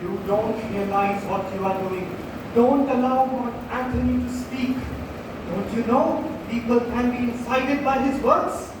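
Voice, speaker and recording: A wary, urgent Roman conspirator, acted by a young man in a school play. The voice is fairly high and insistent. It is heard from a distance in a noisy, echoing hall. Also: unhurried. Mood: urgent warning. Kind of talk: school stage play acting.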